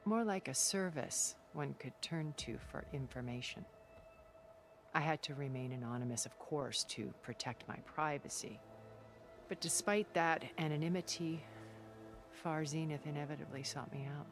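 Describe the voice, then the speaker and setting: An actress voicing a cultured, centuries-old woman recluse in a video game cutscene, speaking in a calm, deliberate and elegant way. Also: low-pitched. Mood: measured. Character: cultured, ancient woman recluse, measured and regretful. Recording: game cutscene with music underneath.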